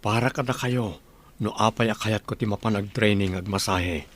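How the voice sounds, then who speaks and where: Man acting a low-voiced, earnest village father. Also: moderate pace, close to an everyday voice. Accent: Ilocano. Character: humble, determined village father of grown children. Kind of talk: Ilocano radio drama acting.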